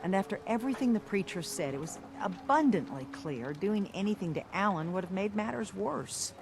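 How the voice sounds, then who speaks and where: A woman playing a weary but firm, diplomatic leader of a harbor town in video game dialogue, plain-spoken and measured. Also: medium pitch, expressive, background noise, natural voice. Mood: firm, exasperated.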